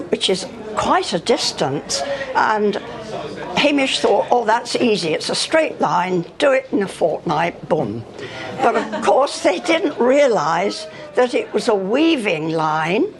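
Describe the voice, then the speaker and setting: An older woman giving an unscripted talk of her recollections, pausing often and speaking hesitantly between phrases. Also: mid-range voice. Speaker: speaker on earth energies and dowsing. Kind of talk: unscripted spoken recollection to an audience.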